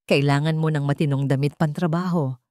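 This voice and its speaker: A woman's voice: low voice, steady tone, brisk, dark tone, no echo, studio quality, pitched below the performer's own voice, lightly acted. Woman voicing a curt, commanding rich boss. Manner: curt, clipped orders. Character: cold commanding rich young male boss.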